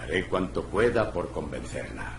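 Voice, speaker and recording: A man voicing a gruff, weary cat private detective in a video game, with a deep gravelly voice, a terse, dry delivery. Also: sing-song, normal speed, a little hiss or hum, heavily acted. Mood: firm, wry. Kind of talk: video game dialogue acting. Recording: roomy echo, faint music behind.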